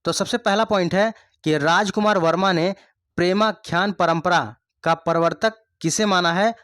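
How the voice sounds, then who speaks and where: A man with a fairly high voice leads an exam coaching lesson. He goes over each point again and again and quizzes his listeners with rhetorical questions. The sound is dry and close, with no room echo. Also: fairly even intonation, normal speed, fairly bright tone. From India. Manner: repeats points, asks the class rhetorical questions. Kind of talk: online Hindi grammar lesson.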